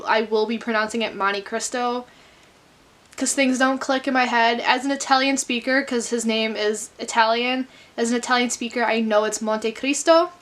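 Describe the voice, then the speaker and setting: A young woman chats into her camera at home about the novel she is reading. Her voice sits high and sounds bright and clear. She talks candidly, swears in passing, and keeps jumping into quick side comments. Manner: chatty, candid, swears casually, quick asides. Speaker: book vlogger. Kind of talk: casual vlog chat to camera. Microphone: camera mic.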